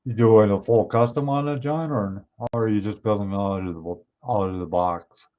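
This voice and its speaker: Hoarse man chatting on a livestream. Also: fairly low pitch, moderate pace. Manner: chatty, reads and answers viewer comments. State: hoarse, clearing his throat. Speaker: livestream host. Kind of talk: casual chat with live viewers. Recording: somewhat dull, lo-fi stream audio.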